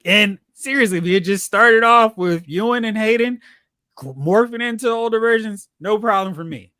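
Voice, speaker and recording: A man co-hosting a casual pop culture chat, talking in an animated, opinionated way. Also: high-pitched, no echo, clean studio sound. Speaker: podcast co-host.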